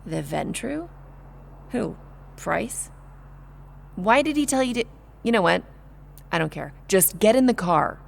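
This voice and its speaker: A woman's voice: mid-range voice, expressive, unhurried, gravelly, pauses often, exaggerated voice. An actress playing an angry, bossy young female vampire mage in an audio drama, curt and scornful. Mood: impatient, exasperated. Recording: long reverb, faint noise.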